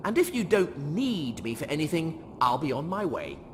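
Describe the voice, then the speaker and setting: A smug, pompous officer, played by a male reader in a sci-fi comedy audiobook. He sneers with breezy sarcasm and animated intonation, over faint background noise. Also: a little high, normal speed, long reverb tail, pitched above the performer's own voice. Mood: sneering, breezy.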